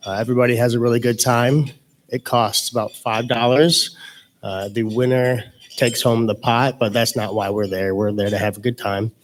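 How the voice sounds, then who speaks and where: A man's voice: mid-range voice, steady tone, normal speed, slight background noise. A man making a cheerful club announcement about a social card night. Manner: cheerful, chatty. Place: meeting hall.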